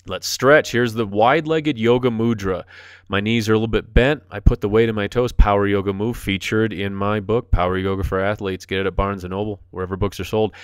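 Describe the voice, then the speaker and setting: A man's voice: on the low side, smooth, no echo, clean studio sound. A man in his forties giving upbeat, jokey voice-over commentary as a fitness instructor over his workout video. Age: 40s. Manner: upbeat, jokey, enthusiastic coaching.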